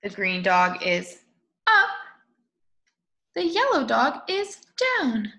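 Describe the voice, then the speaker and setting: A woman in her twenties reading a picture book aloud to young children in an expressive way, with drawn-out words. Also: on the high side, gravelly. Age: 20s. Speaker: storybook reader. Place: small room at home, indoors. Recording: echoey room.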